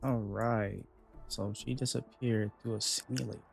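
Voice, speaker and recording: A man's voice: fairly low pitch, steady tone, normal speed, creaky, soft background music. A man giving casual commentary as he streams a game.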